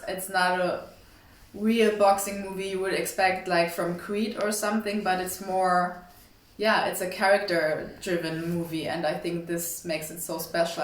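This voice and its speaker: Lowish young German-accented woman, echoey. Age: young adult. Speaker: actress. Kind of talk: interview answers. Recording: echoey, distant mic, background noise.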